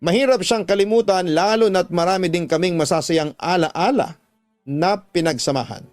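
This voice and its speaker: A man's voice: high-pitched, normal speed, no room sound. A man giving emotive, sorrowful scripted first-person narration for a radio drama. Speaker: radio drama narrator reading a letter sender's story. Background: faint background music.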